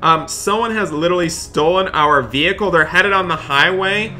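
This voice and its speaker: Dramatic man, very bright forceful voice. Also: sits high, moderate pace. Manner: dramatic, exasperated, repeats himself. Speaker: gaming video host playing a dad in roleplay. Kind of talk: excited roleplay narration for viewers. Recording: background music behind voice. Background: music.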